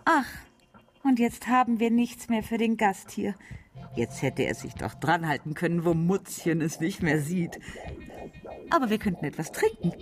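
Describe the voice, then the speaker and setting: A woman's voice: fairly low pitch, lively intonation, normal speed, faint music behind, lightly acted. A woman playing a coarse, playful doctor's wife and mother in a radio drama, gushing, teasing and bossy.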